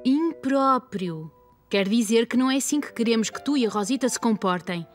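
Higher-voiced patient cartoon mother played by a woman. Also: animated, moderate pace, soft background music, close to an everyday voice. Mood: gently scolding. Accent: European Portuguese. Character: patient, gently firm young mother. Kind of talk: children's cartoon dub.

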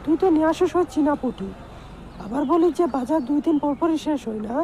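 A woman's voice: sits high, animated, quick pace, dark tone, slight background noise. A woman playing a bossy, hot-tempered village wife in a comic cartoon, scolding with a Bangladeshi Bengali accent. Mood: angry, threatening. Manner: scolding, demanding.

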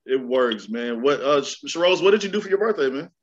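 An easygoing man hosts a casual interview over a video call. His questions come out loose and relaxed, and he often closes a thought with "man". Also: medium pitch, brisk, dull audio. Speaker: host.

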